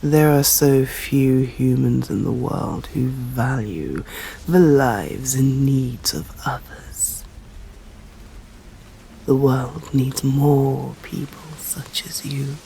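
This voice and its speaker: A woman with an English accent voicing an ancient, stern female vampire in an ASMR roleplay, speaking formally in slow, hushed whispers. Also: rumbling low, gravelly, slight background noise, heavily acted, low-quality recording. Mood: tender.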